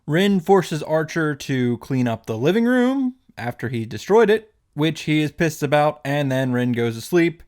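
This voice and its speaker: A man's voice: fairly high pitch, very animated, a little slow, hardly any pauses, a little mellow. Profane, exaggerated man recapping a story. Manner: profane, exaggerated, mocking retelling. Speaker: video host reacting to and summarizing a visual novel. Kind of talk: casual, scripted comedic recap narration.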